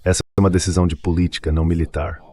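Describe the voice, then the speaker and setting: A man giving formal testimony as a military officer, measured and guarded. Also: low voice, flat delivery, darkish tone. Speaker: admiral testifying to lawmakers. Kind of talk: formal testimony, translated voice-over.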